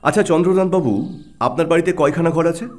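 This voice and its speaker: A man playing a calm, shrewd and confident detective in an audio drama, speaking in a composed, deliberate way. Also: fairly high pitch, close to an everyday voice. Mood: probing, composed.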